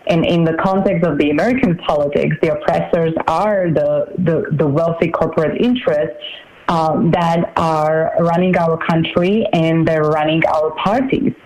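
Woman in her forties from Slovakia, political candidate, measured, lots of uh fillers, remote call audio. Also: deep voice, steady tone, a little mellow, low-quality recording. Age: 40s. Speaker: congressional candidate. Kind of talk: political interview.

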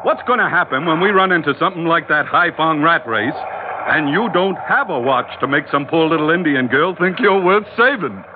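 Actor as a high-pitched, hearty ship's mate. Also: male voice, lively intonation, moderate pace, background noise, lightly acted. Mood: teasing. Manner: hearty, bantering, barks orders. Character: brash, hearty, teasing male first mate on sailing ship. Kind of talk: 1940s radio adventure acting. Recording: muffled old radio recording with music.

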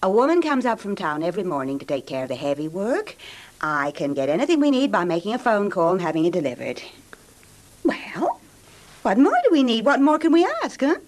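An actress playing a soothing but possessive, controlling wife in an old time radio drama, coaxing and insistent. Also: female voice, mid-range voice, big pitch swings, a little fast, darkish tone, lightly acted.

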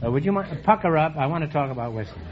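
A man hosting a quiz show, cracking quick puns and teasing his contestants. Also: fairly high pitch, expressive. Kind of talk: joking quiz show banter. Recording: dull old radio broadcast with hiss.

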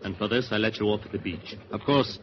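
A man acting a shrewd, cool-headed boat skipper in 1940s radio drama style, measured, commanding and dryly taunting. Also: fairly low pitch, close to an everyday voice. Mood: firm, businesslike. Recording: muffled, noisy 1940s radio recording.

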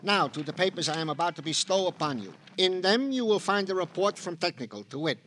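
An actor playing a genial, pompously wordy police sergeant in an old radio crime drama, with deliberate, formal phrasing. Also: male voice, sits high, lively intonation, a bit bright. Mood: genial, florid. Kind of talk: 1940s-50s radio drama comic-relief acting. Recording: noisy old radio recording.

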